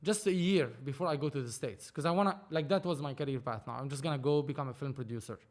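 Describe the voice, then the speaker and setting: A man, speaking in a voice that sits a little higher than most men's. He tells his story as a podcast guest in loose, animated chat, often restarting his sentences as he goes. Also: fast talker. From Lebanon. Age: 30s. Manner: animated, rambling, frequent restarts. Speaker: film producer, podcast guest. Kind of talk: casual conversational storytelling.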